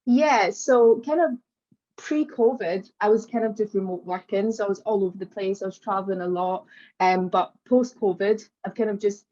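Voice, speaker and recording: A Scottish woman from Glasgow in her late twenties, a guest in an interview, telling her story in a relaxed, easygoing way. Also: mid-range voice, normal speed. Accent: Scottish (Glaswegian). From Glasgow, Scotland. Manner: relaxed, storytelling, frequent "um" and "kind of". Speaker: guest, social media agency founder. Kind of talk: conversational interview. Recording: dull lo-fi remote audio.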